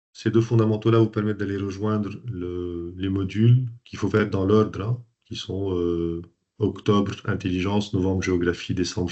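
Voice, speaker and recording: A man from Lebanon with a fairly low voice presents a training program to an online group. He talks loosely, with many fillers and restarts. The sound is dull and lo-fi, as on a video call. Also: darkish tone. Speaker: coach and trainer hosting a webinar.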